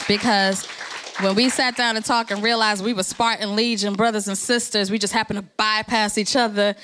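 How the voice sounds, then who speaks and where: A woman's voice: mid-range voice, fairly even intonation, moderate pace, fairly bright tone. Woman speaker rallying a networking crowd. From New Jersey, USA. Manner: conversational, encouraging, rallying. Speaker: organization president. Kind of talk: speech at a networking event.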